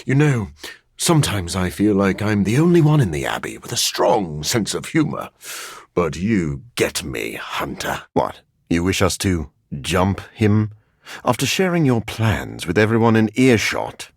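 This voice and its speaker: An actor playing a brooding, erudite living vampire scientist in a video game, speaking formally with dry, deadpan wit and a husky, breathy voice. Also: male voice, sits low, unhurried, dry recording, full character voice. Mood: serious, formal. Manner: formal, articulate, dryly deadpan. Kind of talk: video game character acting.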